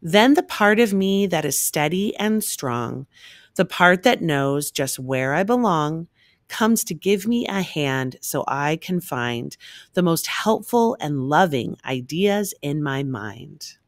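A woman reading a rhyming children's picture book aloud in an expressive, measured storytelling manner. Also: low-pitched, dry recording, studio quality.

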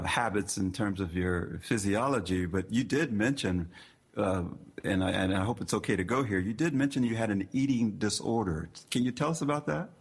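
A man hosting a podcast interview, earnest and warm in his words, often repeating himself and using fillers. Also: fairly low pitch, breathy edge. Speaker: podcast host. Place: California.

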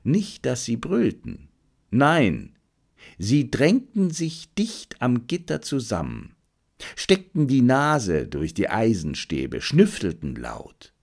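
A man's voice: medium pitch, huge pitch swings, slow pace, creaky, darkish tone. A man narrating a detective adventure audiobook with dramatic, suspenseful delivery.

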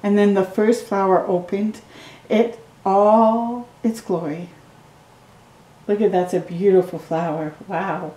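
Middle-aged woman storytime reader, slow and mellow, reading a picture book at home, roomy echo. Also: medium pitch. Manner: slow, gentle reading with excited asides to the viewer. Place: living room at home.

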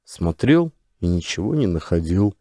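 A man narrating a literary story as an expressive audiobook storyteller. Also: deep voice, moderate pace, no echo, studio quality.

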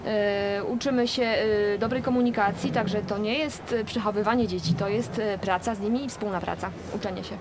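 A woman in her thirties from a community foundation, giving earnest, emphatic interview answers on a lapel mic in a shopping mall. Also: mid-range voice. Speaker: foundation representative. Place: shopping mall seating area, indoors. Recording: mall noise behind.

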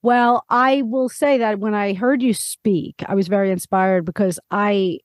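A woman's voice: mid-range voice, fairly even intonation, unhurried, dry recording, clean studio sound. A woman speaking as a podcast host and interviewer. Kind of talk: scripted introduction, then conversational interview.

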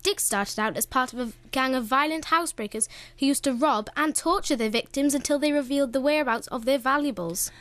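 A woman's voice: high-pitched, fairly quick, few pauses, a bit bright, no room sound. A woman playing a chirpy, blunt roving reporter in a comic radio play.

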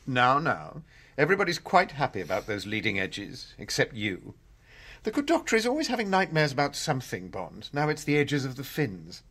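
Posh english tycoon villain in a radio play, jovial but bossy, voice swoops around a lot. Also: male voice, medium pitch, wildly swooping pitch, normal speed, a bit bright, slightly rough, exaggerated voice. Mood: hearty, commanding. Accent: upper-class English. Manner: hearty, clubbable, commanding. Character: jovial, domineering British rocket tycoon, smoothly menacing. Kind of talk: radio drama acting.

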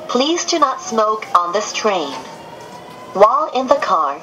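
A woman reading a polite, scripted automated announcement, played over a train's speakers. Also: mid-range voice. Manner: polite, measured, precisely scripted. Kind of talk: recorded scripted public announcement. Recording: train PA with background noise.

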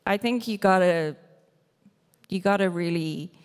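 A woman's voice: fairly low pitch, unhurried, clean tone. A woman in her forties on a conference panel, talking frankly and laughing often. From Ireland. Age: 40s. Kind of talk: panel discussion. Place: large conference hall stage. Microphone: handheld mic. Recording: reverberant hall.

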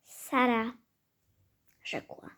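A woman storyteller narrating a fairy tale in a halting, hesitant way, with stumbles and repeated phrases. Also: a bit bright. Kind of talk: fairy-tale storytelling for a hobby audio play.

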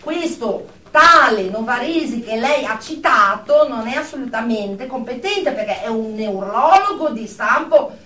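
A woman's voice: on the high side, animated, a little slow, few pauses, pushed and bright. An actress playing a brusque, pedantic female psychiatric clinician in a radio drama, officious and coldly judgmental. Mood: stern. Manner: clinical, officious, jargon-laden. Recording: distant, echoey room with faint noise.

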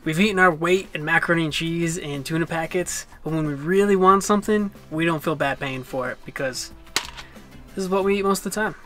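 A young man with a slightly high voice talks casually to a handheld camera while hiking and lounging in his van. He sounds relaxed and self-mocking. Soft music plays faintly underneath. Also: brisk. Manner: easygoing, chatty, self-mocking. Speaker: travel vlogger. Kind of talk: casual travel vlog narration. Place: outdoors on a mountain trail and inside a camper van. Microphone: handheld camera at arm's length.

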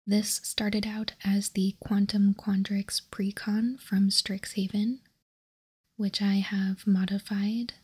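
Lady doing asmr, soft breathy whisper, really slow n calm, barely moves in pitch. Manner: calm, measured explaining. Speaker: host of a card game ASMR channel.

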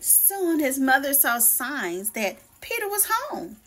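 A woman teacher reading a children's picture book aloud in a deliberate, expressive storytelling way. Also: high-pitched. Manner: slow, deliberate, animated storytelling for young listeners.

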